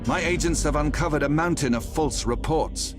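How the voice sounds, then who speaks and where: An actor playing a ruthless, haughty inquisitor in a video game, speaking with theatrical command and cold menace. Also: male voice, on the high side, normal speed, close to an everyday voice. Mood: stern disdain. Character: imperious, ruthless male inquisitor, coldly menacing. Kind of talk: video game cutscene acting. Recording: music behind the voice.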